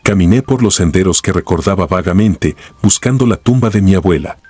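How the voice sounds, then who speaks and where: Low husky male horror narrator. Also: mellow, dry recording. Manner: grave, measured storytelling.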